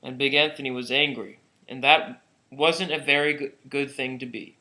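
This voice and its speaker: A man reads a children's story aloud, plainly and a little haltingly. His voice sits at a middle pitch with a very bright, forceful tone. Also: steady tone, low-quality recording. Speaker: storybook reader.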